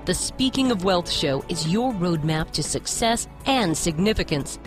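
A woman's voice: lowish voice, lively intonation, measured pace. A woman reading a scripted promotional voice-over as an announcer. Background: music.

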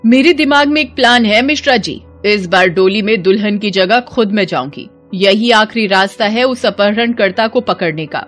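A woman voicing a confident, authoritative female police inspector in a cartoon story, brisk and commanding. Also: medium pitch, fairly bright tone, soft background music, natural voice.

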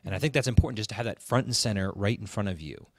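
A man with a slightly deep voice, recorded dry, speaks as a podcast co-host. He explains in an earnest, conversational way, doubling back on words to underline his point. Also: expressive, rapid-fire, a little mellow, no room sound.

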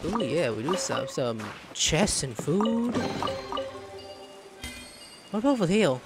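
A man's voice: on the high side, big pitch swings, slow. A man streaming a game with casual commentary, playful and slangy, often exclaiming. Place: indoor room, webcam gaming setup. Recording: game music behind the voice.

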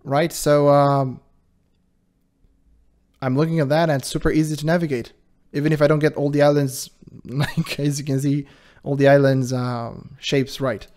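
A man with a high-pitched voice hosting his channel, giving casual commentary in an animated, defensive way and laughing often. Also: normal speed, pauses often. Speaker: channel host reacting to a video.

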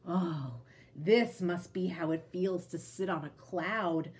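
A woman's voice: lowish voice, takes it slowly, darkish tone. A woman voicing a small, anxious, wistful toy bear in a storybook read-aloud, with dramatic sighing feeling. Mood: blissful.

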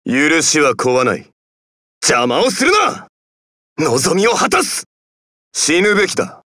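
A man voicing a ruthless, tormented undead warrior in an anime-style game, husky, grim and intense. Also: fairly high pitch, huge pitch swings, normal speed, sharp tone, no echo, studio quality, exaggerated voice. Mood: ruthless, forceful.

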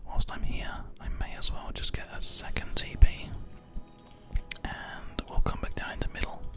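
A gaming host whispering ASMR gameplay commentary very close into a binaural microphone. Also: normal speed. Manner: hushed whispering throughout. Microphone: close binaural microphone, ear to ear.

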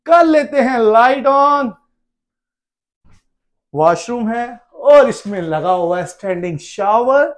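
A high-voiced man in his thirties hosts a casual travel vlog. He talks like a showman walking viewers through a place, animated and quick to exclaim. The delivery is informal and addressed straight to the audience. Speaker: travel vlogger. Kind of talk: casual vlog tour narration. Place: outdoors on a wooded road, then inside a cottage.

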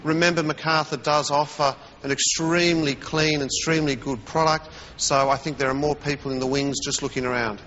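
A man being interviewed as a commodities analyst on live TV news, talking in a measured way and murmuring agreement while others speak. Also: fairly high pitch, fairly even intonation, fairly bright tone, long reverb tail, muffled recording, a little hiss or hum. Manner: measured, with frequent murmured acknowledgments. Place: remote TV studio.

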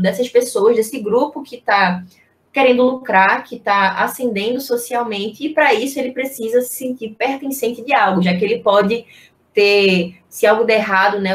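A young Brazilian woman giving an informal, explanatory online history lecture, posing rhetorical questions as she teaches over a video call. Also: mid-range voice. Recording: echoey room on video-call microphone.

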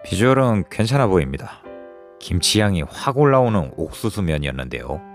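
A man narrating a restaurant review vlog in a lively, descriptive way, recorded in a busy barbecue restaurant. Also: low-pitched, brisk, faint music behind. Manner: lively, descriptive, chatty with friends. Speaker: food vlogger.

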